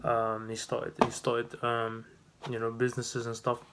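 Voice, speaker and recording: A man in his early twenties from the Netherlands, talking straight to camera with no notes. He rambles and restarts often, filling gaps with "um" and "you know", in a mid-pitched, rather flat voice. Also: unhurried, heavy background noise. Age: 20s. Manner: rambling, many fillers and restarts. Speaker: vlogger and new business owner. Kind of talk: unscripted casual vlog.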